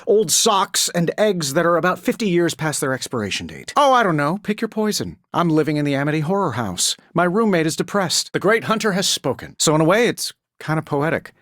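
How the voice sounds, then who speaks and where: Cocky American genius superhero for a video game: glib, sarcastic, very animated pitch, dry close recording. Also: male voice, a little fast, few pauses, no room sound. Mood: wry complaining, then smug, quippy. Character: cocky, wisecracking adult male billionaire inventor superhero. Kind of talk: scripted video game voice acting.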